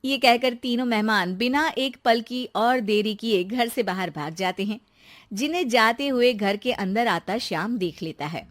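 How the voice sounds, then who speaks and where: A woman narrating a cartoon moral story in a lively storytelling manner. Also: on the high side, normal speed, no echo.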